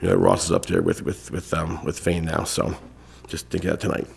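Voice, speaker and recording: A man in his thirties with a congested voice, chairing a town board meeting in a casual, halting way full of fillers and restarts. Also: a bass voice, big pitch swings, creaky, slightly rough. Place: town hall meeting room.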